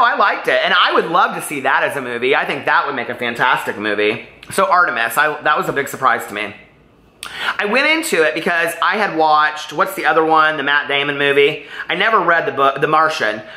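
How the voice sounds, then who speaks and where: Bright-voiced, gushing man chatting about favorites. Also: on the high side, very animated, a little fast. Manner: gushing, rambling, emphatic, addresses viewers directly. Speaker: book reviewer vlogger. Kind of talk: casual chatty book review to camera. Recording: roomy, echoey room sound.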